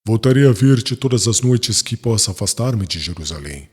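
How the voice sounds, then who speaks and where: Man acting an ardent husky fiancé in deep reverb. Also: lively intonation, normal speed, a little mellow. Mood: tender, ardent. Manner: pleading, tender, emotional. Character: ardent young scholar, emotional tender fiancé. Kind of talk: dramatized audiobook acting. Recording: long reverb, music underneath.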